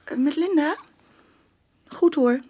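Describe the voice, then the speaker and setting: A woman playing a guarded, uneasy mother in a radio drama, slightly husky and hesitant. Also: high voice, takes it slowly, mellow. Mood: guarded, defensive. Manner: hesitant, defensive. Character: guarded, uneasy mother, a former sex worker.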